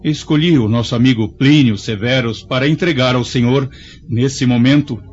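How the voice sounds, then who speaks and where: An actor with a Brazilian accent playing a courteous Roman imperial administrator in a radio drama, making a ceremonious, formal speech. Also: male voice, mid-range voice, moderate pace, darkish tone, natural voice. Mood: solemn, honored. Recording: dull lo-fi audio with music.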